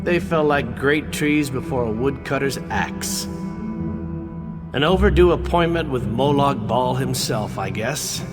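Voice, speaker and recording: A man's voice: mid-range voice, close to an everyday voice. An actor playing a dashing young swordsman in a fantasy game, a breezy, boastful, tongue-in-cheek type. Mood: breezy boasting. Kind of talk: fantasy game character acting. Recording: hall reverb with music behind.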